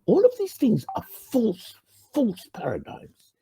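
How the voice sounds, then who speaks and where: Husky, gravelly man from Ghana. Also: wildly swooping pitch, measured pace, poor audio. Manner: earnest, swinging from emphatic rises to quiet low endings. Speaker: channel host. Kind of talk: reflective monologue to camera.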